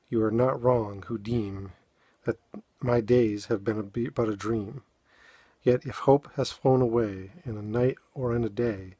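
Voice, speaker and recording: A man with a New England accent talks to his viewers in a halting, filler-laden way, then reads poems aloud. His voice sits low and a little husky. The sound is dry, with no room echo. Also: mellow. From Boston. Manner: halting, many fillers and restarts. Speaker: poet. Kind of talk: casual chat and poetry reading.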